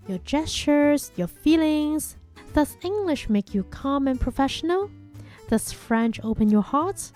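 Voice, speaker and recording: Mellow-voiced woman with a Chinese first language. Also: high voice. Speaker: podcast host. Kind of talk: reflective scripted monologue.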